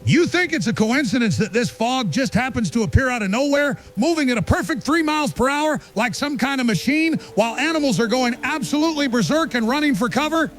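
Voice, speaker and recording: A man playing an urgent, paranoid conspiracy broadcaster in a radio drama, gravelly and accusatory. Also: very high voice, lively intonation, fairly quick, few pauses, a bit bright. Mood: alarmed, accusatory. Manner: emphatic, accusatory.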